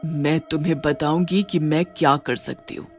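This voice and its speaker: A woman playing a vengeful, grieving widowed witch in a horror story, speaking in dramatic, drawn-out vows. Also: low-pitched, steady tone, darkish tone. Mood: menacing. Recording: music under the voice.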